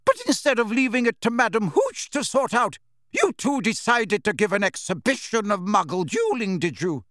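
A man's voice: sits high, very animated, moderate pace, a bit bright, no room sound, studio quality, pitched above the performer's own voice. A man voicing a strict, imperious older female schoolteacher in an audiobook, clipped, indignant and emphatic. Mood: bellowing.

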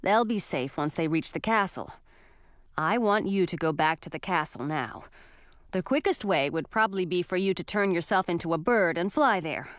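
A woman voicing an earnest, protective young man in a fantasy audiobook, speaking in a grave, firm and measured way. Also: lowish voice, expressive, no room sound, studio quality, natural voice. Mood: grave, reassuring.

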